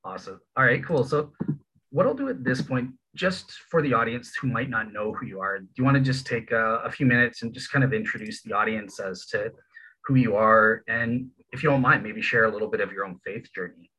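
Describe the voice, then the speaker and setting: Husky, bright-toned podcast host. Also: male voice, mid-range voice, a little fast, rough recording. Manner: relaxed, informal, filler-heavy. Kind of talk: casual interview intro.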